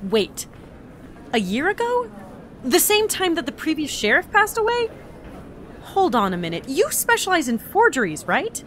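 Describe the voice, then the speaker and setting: Very high, exasperated female agent woman acting, noisy. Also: normal speed, full character voice. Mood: incredulous. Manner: excitable, stammering, sarcastic. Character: exasperated young female agent from another reality. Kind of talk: audio drama acting.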